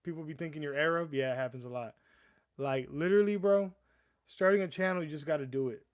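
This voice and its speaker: A man's voice: on the high side, fast talker, clean tone, highs cut off. Stammering young man chatting on a livestream. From US East Coast. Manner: rambling, stammering restarts, calls viewers "bro". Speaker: livestream host. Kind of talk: casual live chat.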